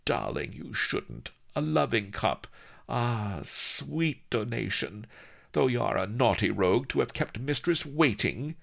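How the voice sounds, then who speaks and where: Brief: male narrator as haughty storybook pirate wench, vain and lustful, languid drawl or bluster, pitched well up, very swoopy. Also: sing-song, unhurried, creaky, a bit bright, exaggerated voice. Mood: purring, lustful. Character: tall imperious pirate woman, lustful, vain, hot-tempered mistress.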